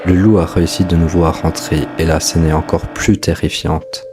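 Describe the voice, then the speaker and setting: A man's voice: extremely low pitch, little pitch movement, moderate pace. A man hosting and narrating a scripted explainer of a horror story. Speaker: host, narrator. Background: music.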